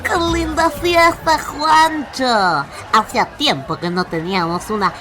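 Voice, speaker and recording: Lady doing a tipsy party slug girl, argentine, highish voice, teasing and pushy, music playing behind her. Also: a little slow. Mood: tipsy delight. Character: tipsy, cheerful, carefree female slug who loves partying. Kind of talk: radio fable acting with music bed.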